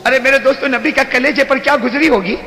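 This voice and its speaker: A man preaches with fervour in a very high, piercing voice. He swings from shouting to low, hushed pleading. His voice rings through a roomy hall with a long echo. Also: quick pace. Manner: fervent, often shouting, drops suddenly to low hushed tones; addresses listeners repeatedly as "my friends". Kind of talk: impassioned religious sermon. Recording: echoey hall with long reverb.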